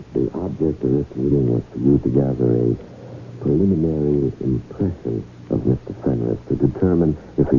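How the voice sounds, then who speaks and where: A man's voice: very deep voice, gravelly, full character voice. A man playing a fussy, persuasive con man in a 1970s radio drama, talking in an obsequious, ceremonious manner. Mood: flustered, delicate. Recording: tinny, noisy old radio broadcast recording.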